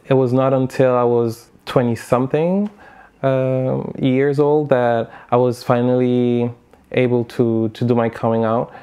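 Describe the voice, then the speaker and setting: Young male interviewee from the Caribbean, clear smooth voice, mid pitch, reflective personal testimony. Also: warm tone. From Saint Martin, Caribbean. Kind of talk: personal life testimony to camera.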